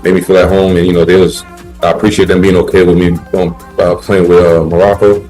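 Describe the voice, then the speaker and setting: Deep-voiced laid-back man. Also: fast, soft background music. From Haiti. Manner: relaxed, rambling, laid-back with many fillers. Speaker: basketball player guest. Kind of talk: casual interview chat.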